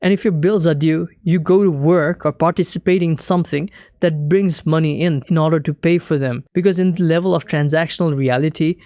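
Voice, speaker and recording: A young man from Nepal whose first language is Nepali, giving a calm, earnest, scripted talk as a spiritual teacher. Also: a little high, dry recording, studio quality. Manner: measured, earnest, explanatory.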